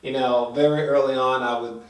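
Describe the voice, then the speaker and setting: A man chats casually as he reviews a film, in a mid-pitched voice. He rambles and halts, repeating himself for emphasis and cursing freely. The sound is roomy and set back from the microphone. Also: steady tone, brisk, muffled recording. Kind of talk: casual, spoiler-filled film review chat. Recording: echoey room, distant mic.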